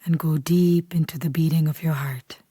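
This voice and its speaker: Mellow, soothing woman guiding a meditation. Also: deep voice, husky, dry recording, clean studio sound. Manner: calm, unhurried, soothing. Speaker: meditation guide. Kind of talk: guided meditation, slow scripted instruction.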